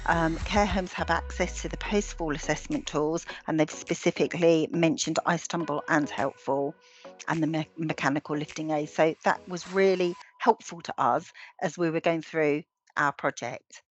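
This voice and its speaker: A British woman with a slightly low voice talks an online audience through her slides. She is steady but halting, with plenty of ums and restarts, and her voice is starting to go. Faint music sits behind her on the call. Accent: British English. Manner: measured, with frequent ums and restarts. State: losing her voice. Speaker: webinar presenter. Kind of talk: presenting slides in a webinar.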